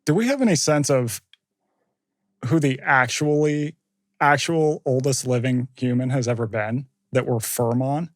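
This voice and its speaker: A man's voice: medium pitch, a little slow, no room sound, studio quality. A man hosting an interview who asks brief, curious follow-up questions. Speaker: podcast host.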